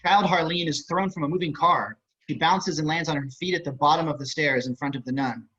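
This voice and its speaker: A man's voice: a little high, steady tone, brisk, bright, forceful tone, slightly rough. A man reading stage and screen directions aloud as scripted narration. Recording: muffled online call audio.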